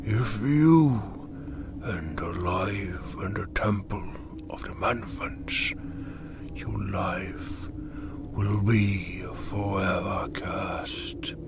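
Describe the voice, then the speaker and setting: A man voicing a frail, ancient blind bird hermit who speaks in creaky, breathy whispers. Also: deep voice, extremely slow, noisy background, full character voice. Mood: warning.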